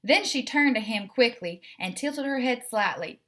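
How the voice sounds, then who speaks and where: Expressive woman reading a children's book, lively pitch. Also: normal speed. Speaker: story reader.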